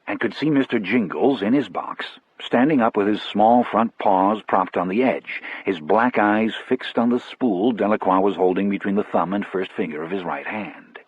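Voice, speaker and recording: A man narrating a first-person audiobook story in a measured, reflective manner. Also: fairly low pitch, slightly rough, no room sound, highs cut off.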